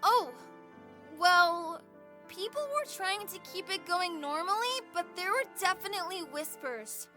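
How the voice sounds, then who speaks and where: A woman's voice: very high-pitched, lively intonation, unhurried, sharp tone, soft background music, full character voice. A woman playing a kind, earnest kid, wise beyond their years, in an audio drama. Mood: chatty. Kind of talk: scripted audio drama acting.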